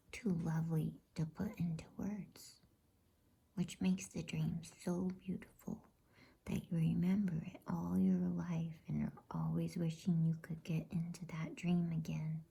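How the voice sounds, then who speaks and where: A woman reads a bedtime story in a very breathy ASMR whisper. Her voice is low and extremely soft-edged, hushed and soothing, moving at an unhurried pace.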